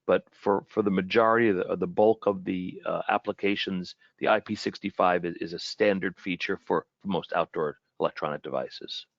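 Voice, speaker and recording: A man giving an informal webinar product presentation in a halting way, often repeating and rephrasing his words. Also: low-pitched, fairly even intonation, gravelly, dry recording, highs cut off.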